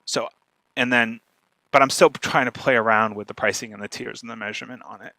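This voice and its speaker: A man speaking conversationally as a guest expert, animated and often checking in with "right?". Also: mid-range voice, fairly quick, dry recording, poor audio. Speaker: guest speaker, podcaster and marketer. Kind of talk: conversational talk on podcast marketing.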